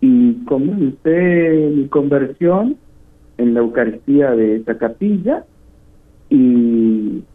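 A man's voice: medium pitch, husky. Middle-aged Argentine man on tinny phone line. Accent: northern Argentine. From northern Argentina. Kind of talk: personal testimony in an interview. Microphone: phone call.

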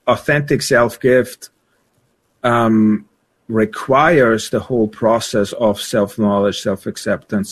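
A man speaking as a guest on a religious talk show, explaining ideas thoughtfully and haltingly as he searches for words. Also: medium pitch.

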